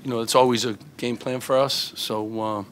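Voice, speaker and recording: A man's voice: medium pitch, fairly even intonation, moderate pace, a bit bright, slight background noise. A middle-aged man, a head basketball coach, answering reporters at a postgame press conference, earnest and full of fillers and restarts, into table microphones.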